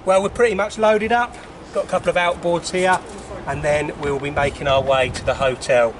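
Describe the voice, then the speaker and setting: A man's voice: a little high, steady tone, moderate pace, slight background noise. A man talking casually to camera about loading up and travelling. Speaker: carp angler and presenter. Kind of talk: casual vlog.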